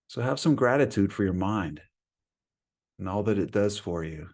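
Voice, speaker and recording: A man leading a guided meditation as a teacher, speaking in a calm, soothing manner with long pauses between phrases. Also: lowish voice.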